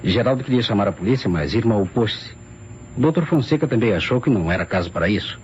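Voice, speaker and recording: A man playing a Brazilian lawyer in an old radio mystery, formal and on the defensive. His voice sits fairly low and moves expressively. The recording is muffled, with faint noise. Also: lively intonation, fast talker, darkish tone, lightly acted. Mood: explanatory. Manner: formal, explanatory. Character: defensive male lawyer, the wife's former fiancé. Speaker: actor in a radio mystery serial.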